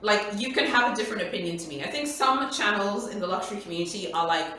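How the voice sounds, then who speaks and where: A woman's voice: medium pitch, animated, fast, sharp tone, slight background noise. A woman whose first language is Swahili, hosting a casual Q&A for her viewers in a chatty, emphatic way and repeating her points. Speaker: luxury YouTuber host. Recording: echoey room, voice far from mic.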